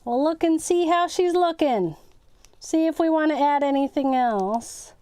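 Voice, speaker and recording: High-voiced woman chatting through a craft livestream. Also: a little slow, warm tone, no room sound. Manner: chatty, self-narrating, repeats words. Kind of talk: casual live craft chat, thinking aloud.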